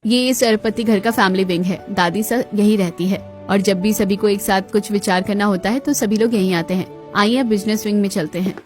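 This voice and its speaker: A woman's voice: medium pitch, a little fast, hardly any pauses, darkish tone, faint music behind, lightly acted. A woman playing a polite, deferential palace servant who explains things formally in a Hindi moral-story cartoon. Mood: courteous.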